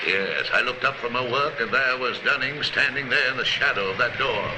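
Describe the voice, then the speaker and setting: A man's voice: medium pitch, normal speed, natural voice. An actor playing a scheming, dignified banker in a 1930s crime film, earnest and theatrical in his delivery. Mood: urgent, feigned shock. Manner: earnest, theatrical pleading and explaining. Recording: noisy, echoey 1930s film soundtrack.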